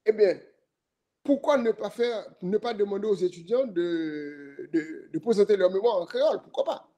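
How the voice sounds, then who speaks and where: A middle-aged man, speaking as a jury member at a thesis defense in a deliberate, questioning, professorial way. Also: high voice, lively intonation, warm tone. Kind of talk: academic remarks at a thesis defense.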